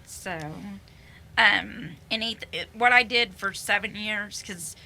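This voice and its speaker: A woman from Kansas who is a guest on a radio interview and gives brief, soft-spoken answers. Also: mid-range voice, takes it slowly. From Kansas, USA.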